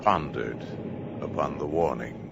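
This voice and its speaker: A man playing a solemn storyteller in a 1950s radio drama, narrating with portentous, drawn-out delivery. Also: very deep voice, vocal fry, exaggerated voice. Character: solemn storyteller closing a science fiction tale. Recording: very noisy old radio recording.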